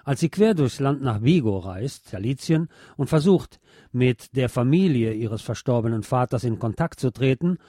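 A man's voice: mid-range voice, expressive, normal speed, mellow, dry recording, clean studio sound. A man presenting a film review as a show host, partly scripted.

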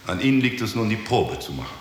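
Casting: officious senior bureaucrat, lively swooping intonation, radio play in very echoey hall. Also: male voice, on the low side, quick pace. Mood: officious, self-satisfied. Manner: animated, officious. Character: brisk male senior official, self-satisfied, chairing a conference. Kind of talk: 1960s German radio drama acting. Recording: very echoey hall, slight hiss.

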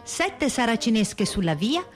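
A woman's voice: fairly high pitch, big pitch swings, unhurried. A woman reading scripted descriptive narration in a radio theatre programme. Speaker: radio theatre performer and reader. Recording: clear music bed behind the voice.

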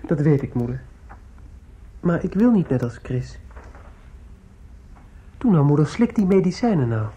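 An actor playing an earnest, sensitive young student, a dutiful son, in a radio drama. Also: male voice, fairly high pitch, big pitch swings, measured pace, warm tone, husky, dull audio, heavily acted. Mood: insistent, concerned.

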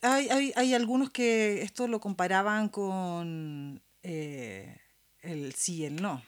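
A woman's voice: medium pitch, takes it slowly. A woman with a Chilean accent co-hosting a casual political discussion, speaking colloquially and repeating words for emphasis. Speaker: podcast co-host.

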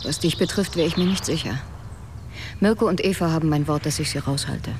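An actress plays a cool investigative writer in a radio drama, her voice slightly low. She speaks standard German with a dry, probing, needling delivery. Faint ambience lies behind her. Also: brisk, noisy background, lightly acted.

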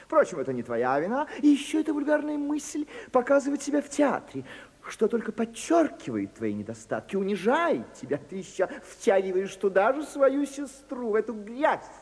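A man's voice: very high voice, big pitch swings, normal speed, heavily acted. A man putting on a scornful, cold mother. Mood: contemptuous. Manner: mocking, theatrical, sweeping swings of tone. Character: cold scornful mother scolding her grown daughter. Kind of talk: 1980s radio drama acting. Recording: long echoey reverb.

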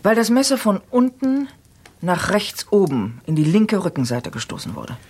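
Husky, authoritative woman. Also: medium pitch, big pitch swings, normal speed, a little mellow. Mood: clinical, composed. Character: composed, matter-of-fact sister with medical knowledge. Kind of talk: German radio crime drama acting.

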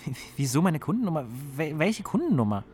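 A high, very animated young male voice for a radio drama. He is an easily amazed phone tinkerer. He stammers, breaks off and repeats himself when flustered. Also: a little fast. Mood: flustered, baffled. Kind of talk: naturalistic German radio drama dialogue.

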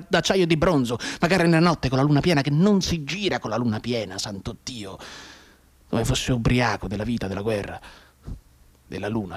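An actor playing a soldier telling a dead comrade's mother about war, in a theatrical, repetitive radio monologue that builds from hushed asides to outbursts. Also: mid-range voice, natural voice. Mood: anguished, insistent, then sorrowful, haunted.

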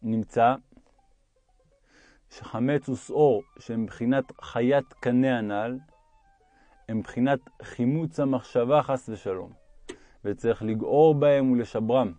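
Mellow-voiced man reading religious text, roomy echo. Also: mid-range voice, pauses often.

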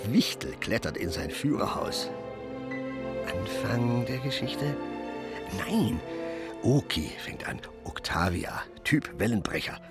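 A man's voice: fairly low pitch, big pitch swings, takes it slowly, vocal fry, over loud music, exaggerated voice. A man acting a cantankerous, middle-aged father and storyteller in a radio drama, theatrical and mocking. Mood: brooding, musing. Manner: theatrical, muttering to blustering. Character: cantankerous father who invents stories, mocking and grumbling.

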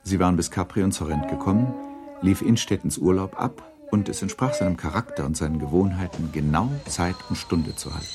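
Low-pitched male radio play narrator. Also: brisk, over music.

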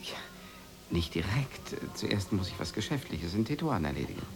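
An actor playing a polite, awkward American husband in a radio drama, stammering and hesitant but eager to please. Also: male voice, deep voice, sing-song, normal speed. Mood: uneasy, polite.

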